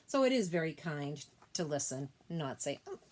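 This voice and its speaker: A woman teacher reading a children's picture book aloud expressively, pausing to explain things gently and simply to young listeners. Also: low voice, big pitch swings.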